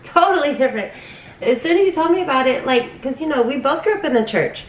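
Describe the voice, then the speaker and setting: Woman, fairly high voice, casual chat, distant mic, echoey. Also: animated, fast. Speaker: storyteller's sister, co-guest. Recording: echoey room, far from mic.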